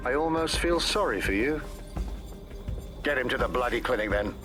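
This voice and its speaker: A gloating game villain acted by a man, a hired-army interrogator with swinging, animated pitch. He taunts and threatens over prominent music. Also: moderate pace, natural voice. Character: menacing, gloating male private-army interrogator. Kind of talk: dramatic game cutscene acting.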